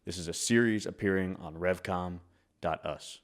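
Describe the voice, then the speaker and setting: A man's voice: low voice, moderate pace. A man reading scripted political narration.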